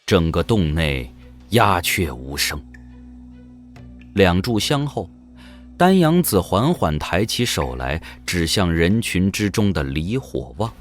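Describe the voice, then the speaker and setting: A man narrating an audio drama in a scripted, dramatic storytelling manner. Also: deep voice.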